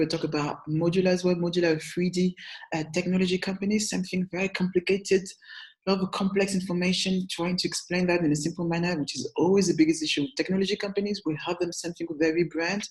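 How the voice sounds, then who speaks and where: A woman's voice: on the low side, darkish tone, poor audio. A young adult woman with a French accent, a brand strategist giving chatty, upbeat business advice to camera, often correcting herself and checking in with the viewer. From France. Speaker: brand strategist and agency founder. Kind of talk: talking-head business advice video.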